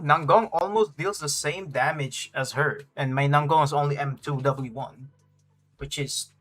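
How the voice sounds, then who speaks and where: Man, higher-than-average voice, casual stream chat, slangy, rambling, light game music underneath. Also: fairly bright tone. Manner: slangy, rambling, thinking aloud. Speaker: game livestreamer. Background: game music faintly behind.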